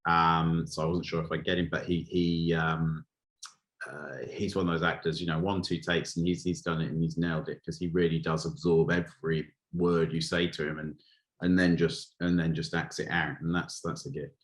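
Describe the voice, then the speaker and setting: A man from Wales with a low-pitched voice speaks as a guest in an interview. He is a film director giving conversational answers, often halting and restarting as he searches for the right words. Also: level tone.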